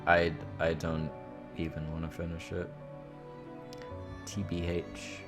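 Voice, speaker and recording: A man's voice: low voice, monotone, takes it slowly, over music. A man streaming a visual novel, reading the narration aloud with informal, casual asides.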